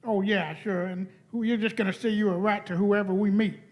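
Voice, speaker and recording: A man's voice: sits high, steady tone, brisk, highs cut off, close to an everyday voice. A man playing a brash, chummy young space traveler in a radio play, with slangy, colloquial talk. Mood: griping. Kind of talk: radio play performed by amateur players.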